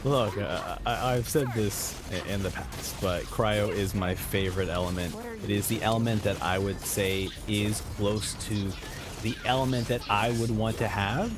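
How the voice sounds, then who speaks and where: A man's voice: on the low side, normal speed, background noise. A man reacting casually to a video game as he plays it live.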